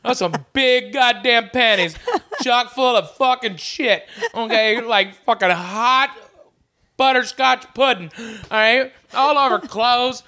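A woman's voice: fairly high pitch, slow, pushed and bright. A woman podcast host, riffing crudely and profanely, exaggerating everything and laughing through her lines.

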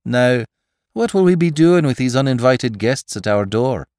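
A man's voice: mid-range voice, animated, normal speed, darkish tone, dry recording, clean studio sound, pitched above the performer's own voice, lightly acted. A man voicing a big, burly, joking adventurer with a boisterous, bantering manner in a dramatised story. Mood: jaunty joking. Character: big burly Irishman with a gun, joking, blustering in danger. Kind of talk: dramatised audiobook character voice.

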